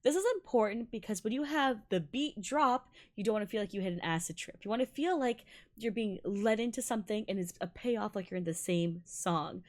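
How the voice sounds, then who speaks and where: A young adult woman whose first languages are Malayalam and Tamil, a violinist giving a bubbly, excitable casual breakdown of music and laughing often. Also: medium pitch, fairly quick. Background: faint music playing.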